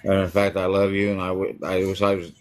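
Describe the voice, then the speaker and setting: A man tries to console a friend and keeps tripping over his words. His voice is low and fairly monotone, earnest and plain. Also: fast talker. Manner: stumbling, earnest, repeating himself. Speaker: friend on a live stream. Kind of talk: casual comforting chat.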